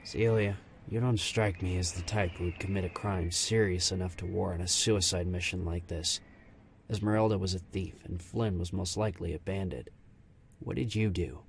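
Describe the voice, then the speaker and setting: Guy doing a deep serious canine soldier, haunted, deliberate, dramatic fantasy story, faint background noise. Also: fairly even intonation. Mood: grave, troubled. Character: grave, conscience-stricken male canine soldier.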